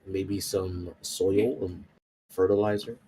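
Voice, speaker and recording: A man's voice: deep voice, fairly even intonation, slow, dark tone, husky, low-quality recording. A man playing a tabletop roleplay game, talking casually and hesitantly as he thinks aloud.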